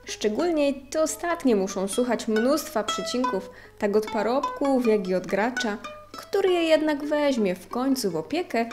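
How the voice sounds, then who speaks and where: A woman narrator giving a measured, scripted reading of an old ethnographic text. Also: on the high side.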